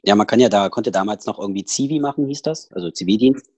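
A man's voice: on the low side, quick pace, mellow, slightly rough, no room sound. A man with a Saxon accent, a podcast guest talking casually over a headset, rambling with many fillers and restarts. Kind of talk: casual personal interview talk.

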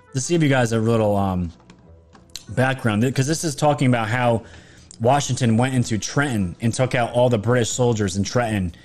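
A man talks on a livestream in a voice of middling pitch that is going hoarse from a sore throat. He rambles and doubles back, restarting phrases and leaning hard on words for emphasis. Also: a little mellow. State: throat sore, losing his voice. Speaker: political livestream commentator. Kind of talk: casual livestream monologue.

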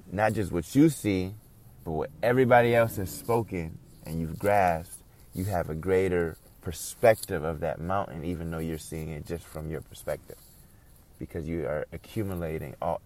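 A man with a very deep voice and a gravelly creak delivers an informal sermon. He sounds earnest and reflective, circling back over phrases to build on them. Some background noise sits behind his voice. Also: a little mellow. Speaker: preacher.

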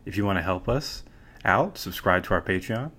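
A man hosting a podcast, energetic and promotional, moving the show along. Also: deep voice, normal speed. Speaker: podcast host.

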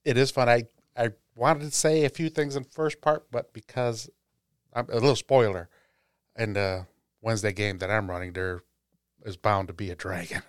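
A man's voice: lowish voice, fairly even intonation, moderate pace, clean tone, dry recording, clean studio sound. A man hosting a tabletop gaming podcast, speaking in a casual, chatty style. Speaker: podcast host.

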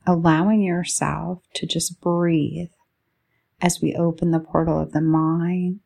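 A woman leading a guided meditation, speaking soothingly in short, drawn-out phrases with a soft-edged, creaky voice. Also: lowish voice, level tone.

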